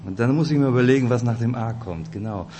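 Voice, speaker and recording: A man's voice: medium pitch, fast, dark tone. A man in his forties from Kazakhstan with a Russian-German accent and a rolled R, giving a friendly, chatty informal sermon as a lay preacher. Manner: friendly, chatty, addresses listeners directly. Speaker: lay preacher at a church weekend. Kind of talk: informal sermon with personal introduction. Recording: reverberant hall.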